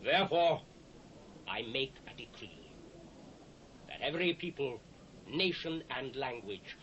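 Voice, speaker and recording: A man's voice: medium pitch, expressive, a little slow, a bit bright. A British actor playing a proud, commanding king of the ancient world in a dramatised scripture reading, declamatory and imperious. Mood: solemn, decreeing. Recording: faint hiss, old cassette transfer.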